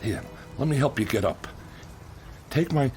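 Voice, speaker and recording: An actor playing a gruff but kind-hearted demolition contractor in a radio drama, with an American accent and colloquial, earnest, insistent working-man speech. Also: medium pitch, lively intonation, normal speed, a little mellow, soft background music, lightly acted. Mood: apologetic, concerned.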